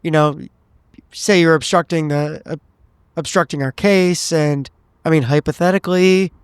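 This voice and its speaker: A man playing a courteous, measured FBI special agent in a tabletop horror game, formal and gently probing. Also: a little high, steady tone, smooth, no room sound, natural voice. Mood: quietly pressuring.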